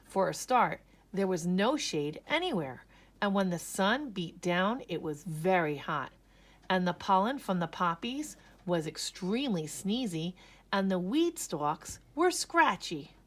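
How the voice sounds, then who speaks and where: A woman narrating a children's story aloud with expressive, dramatic emphasis. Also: on the low side. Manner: slow, animated, dramatic emphasis.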